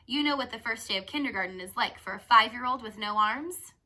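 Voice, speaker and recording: A woman's voice: on the high side, brisk, sharp tone. A woman voicing a frank, curious, chatty young teen girl in a children's storybook. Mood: animated. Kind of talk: storybook character reading.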